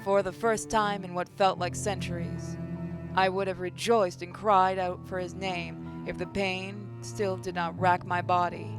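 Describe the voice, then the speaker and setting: A woman hosting a gaming video, reading a visual novel aloud expressively, with casual asides. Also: fairly low pitch. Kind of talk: dramatic first-person story reading with casual asides. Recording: clear game music behind the voice. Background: game music.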